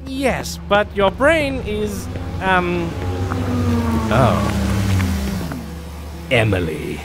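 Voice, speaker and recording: A man playing an eccentric, vengeful undead mad scientist in an audio drama, with a wry, pompous, drawn-out delivery. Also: high-pitched, very animated, over loud music, heavily acted.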